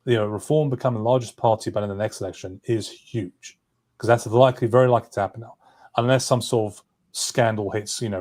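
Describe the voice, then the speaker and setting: A man's voice: lowish voice, darkish tone. A man giving political commentary as a livestream guest, talking on with asides and self-corrections. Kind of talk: political discussion.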